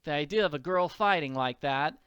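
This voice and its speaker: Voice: mid-range voice, fairly quick, a bit bright, smooth, no room sound, muffled recording, natural voice. A performer voicing an earnest, scrappy orphan girl from a comic strip, talking in folksy slang. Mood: remorseful.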